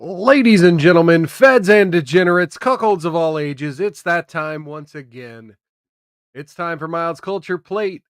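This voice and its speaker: A man's voice: fairly high pitch, unhurried, fairly bright tone, clear, resonant tone, dry recording, studio quality. A man hosting a live stream with casual, irreverent news commentary, sometimes reading articles aloud in an informal way.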